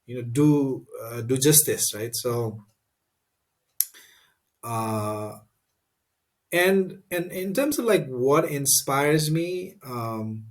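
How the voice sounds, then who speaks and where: A man, a guest in an interview, answering thoughtfully with many pauses, fillers and restarts. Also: medium pitch, expressive.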